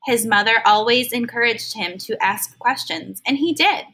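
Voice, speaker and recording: A woman reads a children's storybook aloud in a fairly high, bright, clear voice. She reads expressively and pauses to explain harder words to young listeners in plain, simple language, the way a teacher would. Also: expressive.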